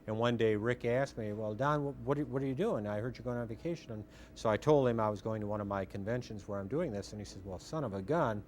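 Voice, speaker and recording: A man's voice: on the low side, fast talker, hardly any pauses, darkish tone, slight background noise. A middle-aged man recalling things in an interview as a radio theater founder. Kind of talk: interview recollection.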